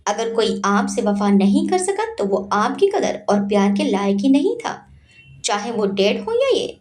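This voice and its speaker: A woman's voice: medium pitch, sing-song, measured pace, darkish tone. A woman voicing a confident, assured young man, a devoted son in an audio story. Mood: reassuring.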